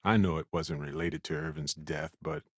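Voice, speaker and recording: An actor playing a warm, wry, chatty space explorer companion in a video game, with an affable, teasing and theatrical delivery. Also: male voice, extremely low pitch, steady tone, moderate pace, husky, dry recording, clean studio sound. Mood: reflective.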